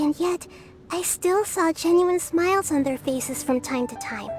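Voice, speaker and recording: Enigmatic bird spirit for a video game: serene, soft-spoken, gentle, faintly wistful. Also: female voice, very high voice. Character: mysterious gentle female bird spirit watching from afar.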